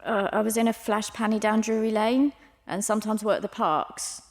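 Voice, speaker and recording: A young woman an actress plays a streetwise, defiant Londoner of the 1780s in an audio drama. Her voice sits at a middling pitch, plain-spoken and frank as she tells her own story. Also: steady tone, moderate pace, natural voice. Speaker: actor and narrator in an audio drama. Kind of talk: audio drama dialogue and first-person narration.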